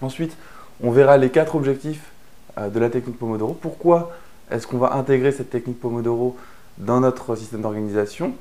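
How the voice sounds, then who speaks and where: A man's voice: mid-range voice, a little fast, dark tone. A man from France explaining a productivity method in a chatty online lesson, with frequent fillers and restarts. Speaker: online course instructor. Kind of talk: explanatory course narration.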